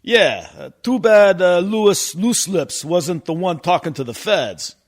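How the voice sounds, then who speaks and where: An actor playing a stressed, irritable Italian-mob boss in a comedic radio play, blustering and hesitant. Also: male voice, sits high, expressive, takes it slowly, full character voice. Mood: gruff, impatient. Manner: blustering, hesitant, peppered with mild swearing. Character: stressed, irritable Italian-American mob boss who secretly runs pizzeria.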